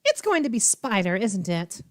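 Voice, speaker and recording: A woman's voice: mid-range voice, very animated, moderate pace, no room sound, studio quality. A woman hosting a playthrough of a horror visual novel, reading aloud and commenting in a theatrical, teasing way. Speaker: vtuber host.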